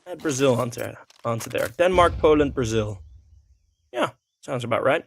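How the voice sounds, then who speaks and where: A young man whose first language is Dutch, speaking with a Dutch accent as he gives relaxed play-by-play commentary, thinking aloud with many fillers and restarts. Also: mid-range voice, normal speed, gravelly, no echo. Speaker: esports caster.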